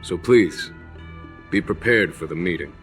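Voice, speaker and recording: A man playing a calm, courteous general who speaks formally and deliberately in an anime game, over music. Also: low voice. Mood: apologetic, solemn. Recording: music behind the voice.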